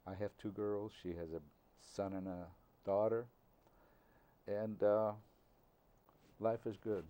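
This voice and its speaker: An older man answering interview questions slowly and haltingly, pausing often with fillers. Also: lowish voice, flat delivery, dark tone. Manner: slow, halting, with many uh fillers.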